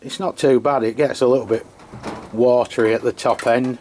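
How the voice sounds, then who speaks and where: A man's voice: mid-range voice, fairly even intonation, normal speed, darkish tone. A man giving a casual, unscripted hands-on review of equipment in a matter-of-fact way. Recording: clear background noise.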